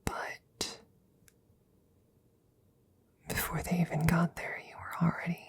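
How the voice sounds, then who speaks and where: A woman playing an anxious, devoted scientist in an ASMR audio roleplay, speaking in a breathy, gravelly, intimate near-whisper with halting stammers. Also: lowish voice, monotone, heavily acted. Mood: hesitant, worried. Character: anxious, devoted female scientist tending her best friend.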